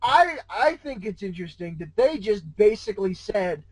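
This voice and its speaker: A man giving casual, expressive commentary as a co-host reacting to a story. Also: high voice, normal speed, husky, no room sound, poor audio.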